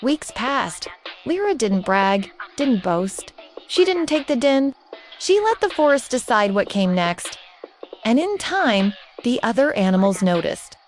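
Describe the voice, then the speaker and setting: A woman's voice: on the high side, very animated, measured pace, soft background music. A woman reading a scripted storybook tale as a narrator.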